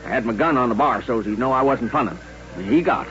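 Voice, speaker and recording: A man's voice: medium pitch, fast, fairly bright tone, faint music behind, lightly acted. Guy doing anxious old west bartender, folksy western talk, stammers a bit, muffled old radio show. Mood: worried. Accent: American Western. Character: nervous, folksy Old West saloon barkeep. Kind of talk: 1950s radio western acting. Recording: dull old-time radio recording.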